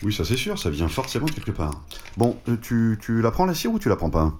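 A French actor playing a grumbling, dry-witted barber in a naturalistic audio drama. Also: male voice, medium pitch, wildly swooping pitch, a little fast, darkish tone, a little hiss or hum. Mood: dry, annoyed. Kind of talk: naturalistic audio fiction dialogue.

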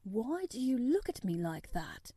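A woman's voice: fairly high pitch, big pitch swings, unhurried, warm tone, breathy edge, full character voice. A woman voicing a spoiled, sickly young boy of wealth who talks in a grand, commanding way in a storybook reading. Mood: curious, lordly.

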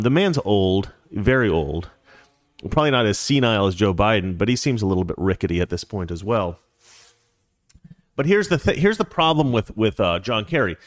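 A male host talks in a fairly low voice, wandering off on tangents and throwing in jokes as he gives his opinions. The sound is close and dry, with no room around it. He sounds loose and unpolished, like an unscripted show. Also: no echo. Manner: rambling, opinionated, joking. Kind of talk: casual political commentary.

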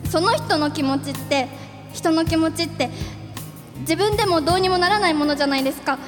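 An actress playing an anxious, lovestruck young office worker, earnest and melodramatic in a live radio drama. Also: female voice, extremely high pitch, brisk, music in the background. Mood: desperate, lovestruck. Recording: live event, long hall reverb.

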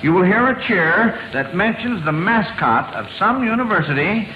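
A man with a fairly high voice hosts a vintage radio quiz show. He is folksy and chatty, reading questions and echoing answers. The broadcast is muffled, with clear background noise. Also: big pitch swings, moderate pace.

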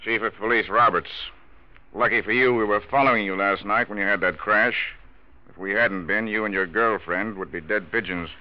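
An actor playing a tough, smug, plain-spoken police chief in a 1940s radio drama. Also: male voice, fairly low pitch, normal speed, close to an everyday voice. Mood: smug, stern. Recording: muffled old radio recording.

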